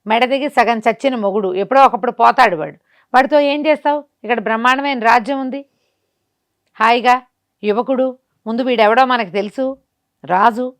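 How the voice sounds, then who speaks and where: A woman's voice: fairly high pitch, normal speed, long pauses, pitched above the performer's own voice, close to an everyday voice. Folk-tale role: a woman playing a glib, bossy old foster mother, coaxing and insistent.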